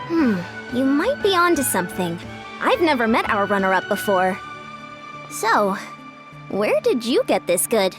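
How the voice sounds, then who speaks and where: A woman voicing an enthusiastic young female adventurer in a video game, expressive and inquisitive. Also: on the high side, measured pace, music in the background. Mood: curious, puzzled.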